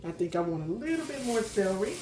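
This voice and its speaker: A woman, slightly hoarse from a cold, chatting homily as she cooks and clearing her throat now and then. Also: mid-range voice, very animated, quick pace, noisy background. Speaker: home cooking channel host. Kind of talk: casual cooking chat. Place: home kitchen. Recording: roomy kitchen sound from a distant mic.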